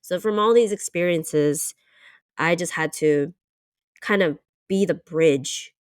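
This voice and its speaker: A woman's voice: lowish voice, slow pace, clear, resonant tone, no room sound, clean studio sound. A young adult woman co-hosting a podcast, telling personal stories in a reflective, casual way. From United States. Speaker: podcast co-host. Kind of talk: casual chat.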